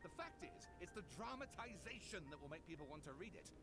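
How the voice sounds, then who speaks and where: A theatrical young male researcher in a video game. His voice is high and extremely animated, eager and full of self-importance. Music plays clearly behind him. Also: quick pace. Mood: self-assured. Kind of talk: video game character acting.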